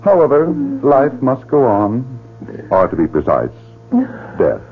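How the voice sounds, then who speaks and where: A man's voice: mid-range voice, huge pitch swings, measured pace, slightly rough, full character voice. An actor playing a suave, sinister male club director who speaks in a silky, mocking way, in a radio drama. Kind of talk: 1970s radio drama acting. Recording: tinny old radio broadcast with music.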